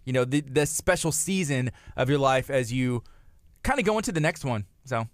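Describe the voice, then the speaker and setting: A man's voice: medium pitch, lively intonation, moderate pace, no echo. A man in his thirties co-hosting a live morning radio show, upbeat and chatty, laughing often and restarting phrases, in a studio on a studio microphone. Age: 30s. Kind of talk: casual live radio chat.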